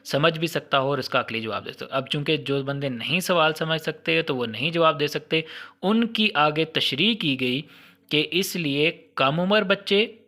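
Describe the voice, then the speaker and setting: A man's voice: a little high, normal speed, sharp tone. A man with a Pakistani accent giving an informal explanatory lecture, didactic, repeating key points and mixing in English terms. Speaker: law lecturer.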